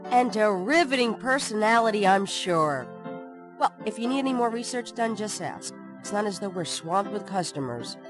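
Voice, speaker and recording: An actress playing a sharp-tongued young woman who works in a bookshop, teasing and sarcastic in a studio adventure game. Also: medium pitch, lively intonation, moderate pace, close to an everyday voice. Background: game music.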